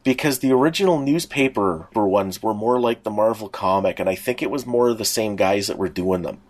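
A man's voice: lowish voice, fairly quick, a little mellow. A middle-aged man co-hosting a podcast, chatting casually as a fan in a rambling way with frequent restarts. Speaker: podcast co-host. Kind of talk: casual nostalgic fan chat.

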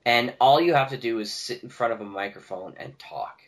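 A man's voice: mid-range voice, a little fast. A man talking casually and enthusiastically as a guest on a podcast. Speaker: podcast guest, audio drama producer.

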